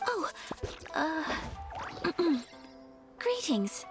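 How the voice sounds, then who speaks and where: A woman playing a polite, earnest young noblewoman in a video game, refined, soft-spoken and bashful. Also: extremely high pitch, very animated, very slow, music in the background, full character voice. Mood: flustered, polite.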